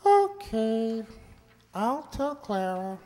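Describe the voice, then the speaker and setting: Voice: mid-range voice, very animated, extremely slow, dark tone. A performer voicing a small talking male lab mouse in an amateur radio play, plainspoken, peaceable and matter-of-fact with a wisecracking edge. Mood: resigned, polite. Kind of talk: amateur radio drama acting. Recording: echoey hall-like reverb.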